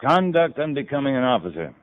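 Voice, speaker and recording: A man's voice: mid-range voice, big pitch swings, quick pace, natural voice. A man playing a seasoned, blunt army captain in a 1950s radio western, speaking in a terse, controlled way. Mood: defiant. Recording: muffled 1950s radio broadcast, roomy echo.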